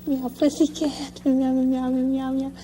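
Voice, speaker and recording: A woman voicing a timid, friendly female pussycat for children's puppet television, peppering her halting speech with meows. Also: high voice, little pitch movement, warm tone, a little hiss or hum. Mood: frightened.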